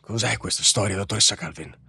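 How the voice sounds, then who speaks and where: A man's voice: on the low side, fast talker, creaky, pushed and bright, faint music behind, full character voice. A man playing an elderly research director, stern, brusque and impatient, in an audiobook story.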